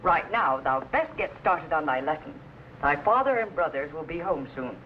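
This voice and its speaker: A woman playing a firm, loving Quaker pioneer mother in an old-time radio drama, with measured, old-fashioned thee-and-thou speech. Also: low voice, expressive. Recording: dull, noisy old radio recording.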